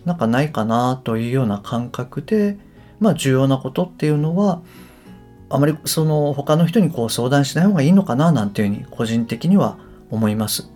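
A man's voice: medium pitch, lively intonation, brisk, darkish tone, soft background music. A middle-aged man talking casually and reflectively on his own podcast, often phrasing his thoughts tentatively. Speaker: podcast host and English coach. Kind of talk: casual solo podcast talk.

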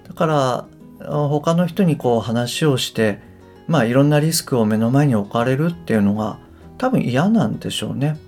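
Middle aged guy chatting solo on a podcast, pitch goes up and down a lot, thinking out loud, bit of guitar music behind. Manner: reflective, tentative phrasing. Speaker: podcast host and English coach. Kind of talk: casual solo podcast talk.

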